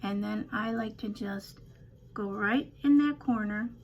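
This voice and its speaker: An older woman with a slightly raspy voice, chatting casually through a home makeup tutorial with rambling, self-deprecating asides. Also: medium pitch, unhurried, highs cut off, a little hiss or hum. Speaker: beauty vlogger.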